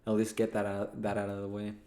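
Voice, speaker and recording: A man's voice: fairly low pitch, completely flat delivery, fast, warm tone. A young man hosting a casual podcast chat, upbeat and teasing, laughing often with his co-hosts. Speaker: podcast host.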